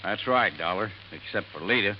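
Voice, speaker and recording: A man plays a blunt, defensive roustabout in an old radio drama. His voice is fairly low and gruff, on a dull recording with faint noise. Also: animated, normal speed, lightly acted. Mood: blunt, irritated. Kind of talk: 1950s radio drama acting.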